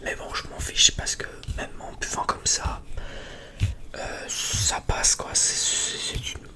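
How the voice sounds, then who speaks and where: Whispering ASMR creator, rambling and hesitant. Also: slow. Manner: rambling, hesitant, often repeating words. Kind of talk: whispered ASMR casual chat.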